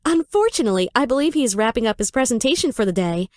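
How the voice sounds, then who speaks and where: A woman's voice: fairly high pitch, animated, fast talker, darkish tone, no room sound, studio quality, close to an everyday voice. A woman playing a polite, helpful casino front desk receptionist in a visual novel, with courteous customer-service manners.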